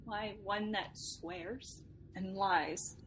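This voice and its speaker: Woman acting a bright-voiced, anguished noble mother. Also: mid-range voice, steady tone, extremely slow, soft background music. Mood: sorrowful, teasing. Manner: theatrical, emotional, declamatory. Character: anguished young noble mother, bitter and frightened. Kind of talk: Shakespearean radio drama acting.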